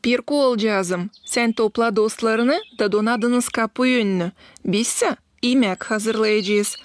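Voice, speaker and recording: A woman's voice: on the high side, slow. A woman voicing a kindly, motherly, practical female dog in a children's cartoon. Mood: fond, instructing. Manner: motherly, gently instructing.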